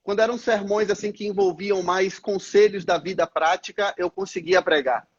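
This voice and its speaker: Husky high-voiced man, muffled. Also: steady tone. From Brazil, Salvador. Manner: measured, explanatory, frequent "é" fillers. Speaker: missionary pastor guest. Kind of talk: live-stream interview answers.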